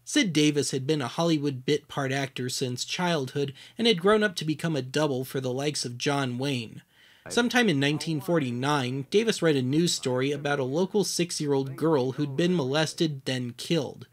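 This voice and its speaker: Guy with a slightly high voice doing wry documentary host narration, reading from a script. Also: moderate pace.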